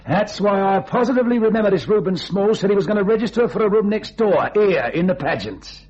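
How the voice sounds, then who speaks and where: A sly tailor turned blackmailer, husky and rather high for a man, with big swoops of pitch. He wheedles and insinuates, all mock innocence, in a dull-sounding vintage radio thriller. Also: lively intonation, fairly quick, a little mellow. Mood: smug, insinuating. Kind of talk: old-time radio thriller acting.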